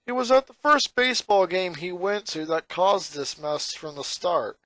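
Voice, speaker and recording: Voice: medium pitch, normal speed, fairly bright tone, no room sound. A narrator reading a fan fiction story aloud as an amateur.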